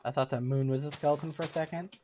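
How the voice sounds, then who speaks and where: Casual gaming streamer, 30s, chatty, giggly, filler-heavy, mid pitch. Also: fairly even intonation, quick pace, mellow, slight background noise. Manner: chatty, laughs often, many fillers. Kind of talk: casual live-stream chat with viewers.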